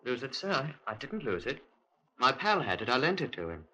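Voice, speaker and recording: Jittery british lance corporal, stammery n defensive, very sharp voice jumping around, old 50s radio play. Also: male voice, mid-range voice, big pitch swings, brisk, slightly rough, full character voice, poor audio. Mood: guarded. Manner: stammering, deferential to superiors. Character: nervous, evasive lance corporal, meek and defensive. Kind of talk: 1950s radio drama acting. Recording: vintage 1950s radio recording.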